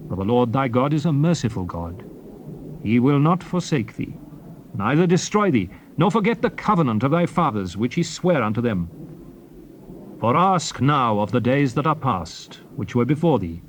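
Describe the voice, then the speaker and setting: An actor playing Moses as a grave, commanding old prophet in a dramatized scripture reading, declaiming with emphatic, swelling phrases. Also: male voice, medium pitch, sing-song, moderate pace, natural voice. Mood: solemn, reassuring. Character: Moses, solemn commanding old prophet exhorting his people. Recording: faint background hiss on old cassette tape.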